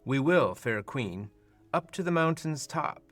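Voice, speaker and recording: A young man playing a proud, commanding duke, declaiming Shakespearean verse deliberately in a radio play. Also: a little high, lively intonation, fairly bright tone, faint music behind. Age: teens or young adult.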